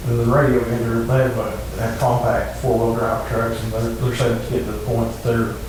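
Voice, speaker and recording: A middle-aged man with an East Tennessee accent reports in a flat, halting, matter-of-fact voice. He is picked up from a distance in a very echoey room with clear background noise. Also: medium pitch. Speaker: county emergency services official. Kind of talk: informal report at a public meeting. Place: echoey indoor meeting room.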